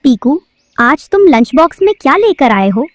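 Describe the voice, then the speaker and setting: A woman's voice: high voice, big pitch swings, moderate pace, dry recording. A woman voicing a bossy, greedy female crow teacher in a cartoon, with a scolding manner. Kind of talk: cartoon voice acting.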